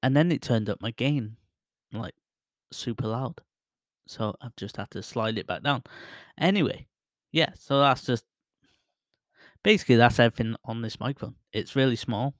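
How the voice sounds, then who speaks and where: A man talking close into a studio microphone at his home desk, in a dry, dead-sounding space with no room echo. His voice sits at an everyday middle pitch and carries a soothing tone. He rambles through a casual review, laughs often and pokes fun at himself. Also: normal speed, pauses often. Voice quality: voice others call soothing. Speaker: tech reviewer testing a microphone. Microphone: close studio microphone with headphones.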